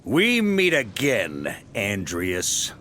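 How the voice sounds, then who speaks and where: A man's voice: on the low side, wildly swooping pitch, drawn-out words, background noise, full character voice. A man voicing a seasoned knight grandmaster in a video game, assured and commanding but easygoing. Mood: watchful, musing.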